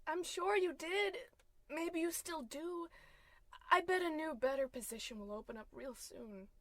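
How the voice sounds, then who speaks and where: A woman's voice: high voice, a little slow, fairly bright tone, no echo. A woman playing a long-suffering wife in an old-time radio comedy, coaxing yet tart and dryly exasperated. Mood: consoling.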